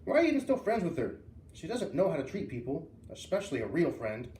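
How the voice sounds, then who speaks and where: Guy doing a tough old farm granny from a kids book, blunt n indignant, warm tone, roomy recording. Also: on the high side, huge pitch swings, fast talker, pitched above the performer's own voice. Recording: roomy, distant mic with faint hiss.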